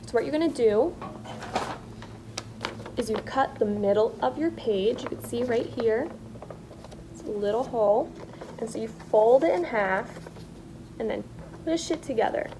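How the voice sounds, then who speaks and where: A woman's voice: a little high, animated, a little slow, pauses often, background noise. A woman art teacher giving children simple, encouraging step-by-step instructions.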